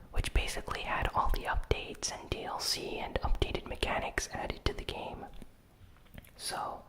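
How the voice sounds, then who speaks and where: A host speaking in a breathy, unvoiced whisper, softly recapping gaming news in a casual, measured ASMR style. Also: low-quality recording.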